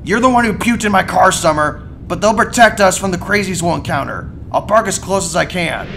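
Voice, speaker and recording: A man playing a crude, cynical old mad scientist grandfather in a cartoon audio drama, brash, sweary and dismissive, with a gravelly voice. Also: high voice, lively intonation, a little fast, a little hiss or hum, heavily acted. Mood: irritated. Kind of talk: fan-made cartoon audio drama acting. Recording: loud music under the voice.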